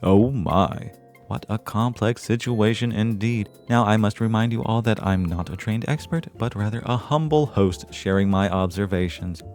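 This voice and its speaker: Genteel male podcast host, acted by a man: lowish creaky voice, formal and florid, earnest delivery over faint music. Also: a little mellow, lightly acted. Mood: gentle, solemn. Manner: formal, florid, measured diction. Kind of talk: scripted podcast monologue.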